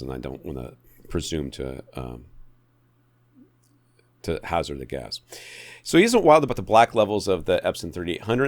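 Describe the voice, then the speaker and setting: A man hosting a podcast, reading out questions and chatting about tech in an animated, emphatic, conversational way. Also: low voice, no echo. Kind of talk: casual chatty tech talk.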